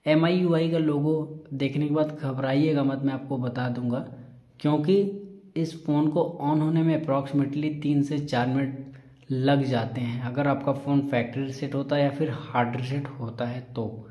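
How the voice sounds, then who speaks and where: A man's voice: mid-range voice, steady tone, moderate pace, dark tone. A man giving an informal step-by-step phone tutorial, explaining in a reassuring, repetitive way. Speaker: tech tutorial presenter. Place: indoor room. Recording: echoey room.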